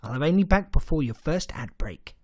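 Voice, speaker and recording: A man playing a brisk, eccentric time-travelling alien adventurer in an audio drama, chatty, bossy and airily confident. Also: on the high side, expressive, mellow, dry recording, clean studio sound. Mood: brisk, commanding. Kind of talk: fan audio drama acting.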